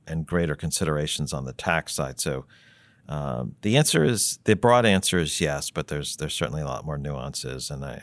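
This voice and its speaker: A man explaining finance as a podcast guest, measured and thinking aloud with many fillers and restarts. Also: very deep voice, no room sound. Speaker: treasury consultant guest.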